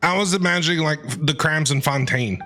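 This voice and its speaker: A woman's voice: low voice, brisk, fairly bright tone. A woman streamer chatting playfully and reacting casually while she plays a video game. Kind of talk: casual reactions over gameplay. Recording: game music behind the voice.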